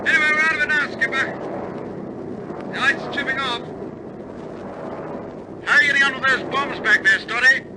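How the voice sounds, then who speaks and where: Wartime air force crewman, old radio play, high urgent clipped voice, noisy engine drone behind. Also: moderate pace, pauses often. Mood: tense, stoic. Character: male RAF bomber crewmen in tense wartime flight. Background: aircraft engine roar.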